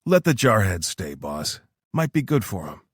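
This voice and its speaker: A man's voice: fairly low pitch, sing-song, normal speed, breathy edge, no echo, clean studio sound. Man voicing a laid-back, wry soldier.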